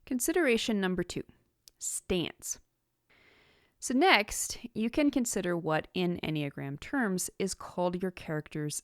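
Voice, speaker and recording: A woman with a slightly low voice presents an instructional podcast from a script. She phrases things deliberately and leans on key words for emphasis. The sound is dry, with very little room in it. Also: no echo. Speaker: podcast host, writing teacher.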